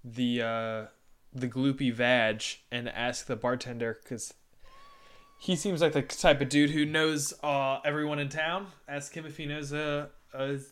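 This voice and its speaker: A man's voice: medium pitch, fairly even intonation, measured pace, fairly bright tone, clear, resonant tone. A man playing a tabletop game with friends, talking in a playful, goofy way. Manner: playful, goofy, in-character bluster. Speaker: player. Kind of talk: casual tabletop game chat.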